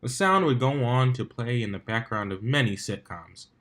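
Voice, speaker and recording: Male fan fiction reader, mid-pitched, for scripted story narration. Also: lively intonation, moderate pace, fairly bright tone.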